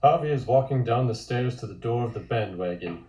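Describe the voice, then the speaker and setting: Male narrator, soft-edged and relaxed, reading stage directions, echoey room. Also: mid-range voice, a little fast, dull audio.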